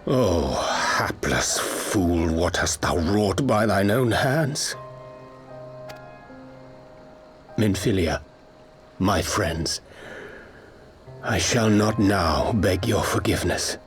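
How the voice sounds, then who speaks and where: A sorrowful, dutiful scholar for fantasy game: lowish voice, very unhurried, formal archaic diction. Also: male voice, animated, soft background music. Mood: anguished, resolute. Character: solemn, sorrowful male scholar torn by duty.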